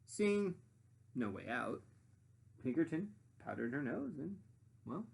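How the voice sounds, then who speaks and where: Female storybook reader for young children: breathy, creaky voice, theatrical pauses, direct address to listeners. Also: deep voice, very animated. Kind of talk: expressive read-aloud for children with asides.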